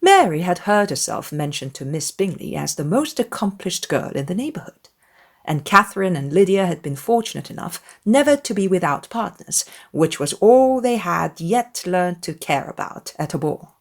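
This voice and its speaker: A woman's voice: on the low side, sing-song, normal speed. A woman reading as an audiobook narrator, in a dramatized literary storytelling style.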